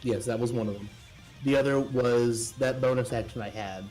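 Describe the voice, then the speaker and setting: Casual mid-pitched man at game table. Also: steady tone, moderate pace, darkish tone, low-quality recording. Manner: informal, thinking aloud. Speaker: player in a tabletop role-playing game. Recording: faint music behind the voice.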